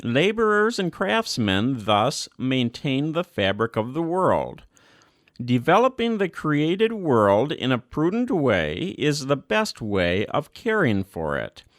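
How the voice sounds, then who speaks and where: A man giving a scripted reading of a religious document, phrase by measured phrase, with deliberate emphasis. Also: medium pitch, no echo, clean studio sound. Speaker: reader.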